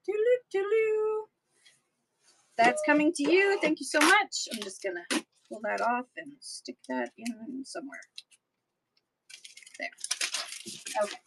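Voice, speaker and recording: A woman selling books in a chatty live-stream auction, her voice getting hoarse, with playful sing-song asides. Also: sits high, background noise.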